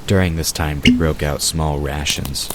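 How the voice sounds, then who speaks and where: A man's voice: a bass voice, fairly even intonation, normal speed, noisy background. A man narrating a scripted audio drama as its storyteller.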